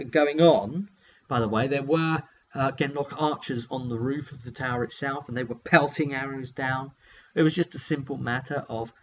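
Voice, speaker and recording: A British man casually telling a story in an animated, rambling way, drifting into asides as he goes. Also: medium pitch. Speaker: tabletop game player recounting a session. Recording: muffled lo-fi audio with hum.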